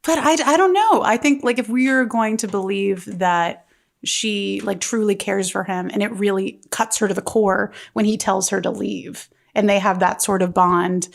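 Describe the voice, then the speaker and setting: Woman, mid-pitched, creaky, conversational, thinking out loud. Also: normal speed. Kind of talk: casual panel discussion.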